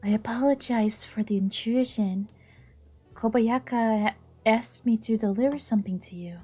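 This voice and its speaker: Soft, husky female agent, played by a woman. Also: a little high, normal speed, faint music behind, pitched above the performer's own voice. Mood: cool, formal. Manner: matter-of-fact, polite. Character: composed, formal female secret police agent.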